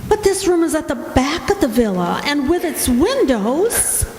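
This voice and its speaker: A woman playing a sharp, doubting young English wife in a stage radio mystery, animated and questioning. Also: high voice, a little slow. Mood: astonished. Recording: echoey hall, faint noise.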